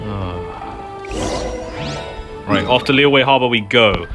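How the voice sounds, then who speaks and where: A man's voice: fairly low pitch, slow. A man hosting a gaming video with casual, conversational playthrough commentary. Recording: game music behind voice.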